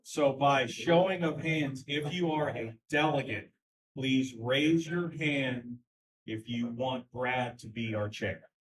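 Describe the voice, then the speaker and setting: A man's voice: medium pitch, slow pace. A man runs a convention meeting in loose, chatty words, often restarting his sentences. His voice sits mid-range and comes from some way off the microphone in a meeting room. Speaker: party chair running a convention. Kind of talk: informal meeting chairing. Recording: voice from far off the mic.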